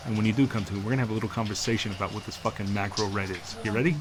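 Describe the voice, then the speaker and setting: A man's voice: lowish voice, steady tone, racing, background noise, lightly acted. A man playing a brash, foul-mouthed dealer in illegal implants in a science fiction audio drama, blunt and full of slang. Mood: gruff, friendly.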